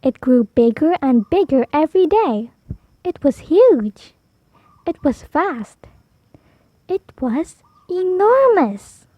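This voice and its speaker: A woman storyteller reading a picture book aloud for preschoolers, animated, drawing out key words for emphasis. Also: high-pitched, takes it slowly, no echo, dull audio. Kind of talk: expressive read-aloud for preschoolers.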